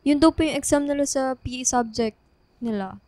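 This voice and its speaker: A woman's voice: high-pitched, moderate pace, warm tone, no echo, close to an everyday voice. A woman playing a sweet, playful college-age daughter in a Filipino radio drama, polite and lightly pleading. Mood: sweet, cheerful.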